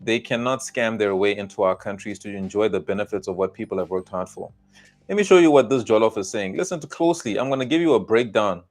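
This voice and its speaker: A man's voice: fairly low pitch, lively intonation, quick pace. A South African man delivering heated political commentary, scolding and repeating blunt warnings to his viewers. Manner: emphatic, scolding, repetitive warnings.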